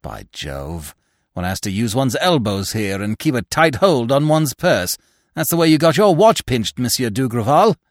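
A man voicing a bustling, irritable police inspector in an audiobook, grumbling and exclaiming briskly. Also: mid-range voice, big pitch swings, no echo, studio quality. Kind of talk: audiobook character voice.

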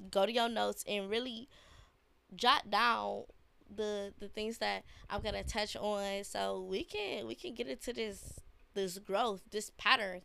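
A woman's voice: medium pitch, vocal fry, fairly bright tone, clean tone, no room sound. A young woman hosting a casual podcast, talking in a laid-back, slangy way with a congested voice from a sinus infection. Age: young adult. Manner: slow, laid-back slangy talk with fillers. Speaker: podcast host. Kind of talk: casual solo podcast talk.

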